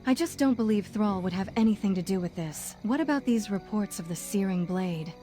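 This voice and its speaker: A woman plays a firm, loyal noblewoman from a fantasy game. Her voice is soft-edged and medium in pitch, composed and commanding, with faint music underneath. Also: expressive, a little fast, natural voice. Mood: stern. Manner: composed, authoritative. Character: principled noble lady ruler, firm and loyal. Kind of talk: fantasy game cutscene acting.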